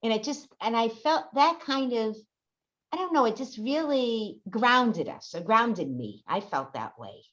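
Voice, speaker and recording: A woman's voice: on the high side, animated, unhurried, a bit bright. An older woman chatting earnestly and casually in a discussion, often adding "you know". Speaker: workshop participant, amateur writer and artist. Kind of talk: casual post-show discussion. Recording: dull lo-fi call audio.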